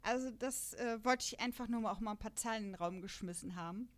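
A woman's voice: medium pitch, fast, a loud crowd. A woman hosting a casual podcast chat about radio dramas, chatty and laughing often as she talks. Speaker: podcast host.

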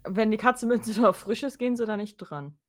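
A woman's voice: a little high, expressive, fairly quick, darkish tone. A woman chatting casually as a podcast co-host. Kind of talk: casual chat.

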